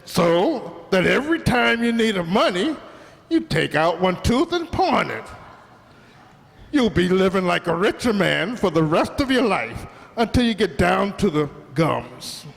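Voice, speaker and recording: A man's voice: sits high, big pitch swings, a little slow, vocal fry, in a large hall, heavily acted. A man playing a pushy, scheming Italian immigrant restaurant owner in an old-time radio comedy, exclaiming and wheedling in broken English with an Italian accent. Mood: wheedling, blustering.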